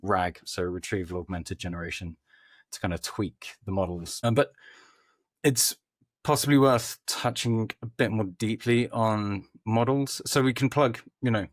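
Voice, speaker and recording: A man speaking as a podcast guest, explaining things informally in conversational answers with hesitant pauses. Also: lowish voice, no echo, studio quality. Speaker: podcast guest, company head of growth.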